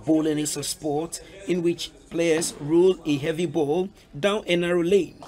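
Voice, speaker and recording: A man reading a scripted news report in a measured, even way. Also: a little high.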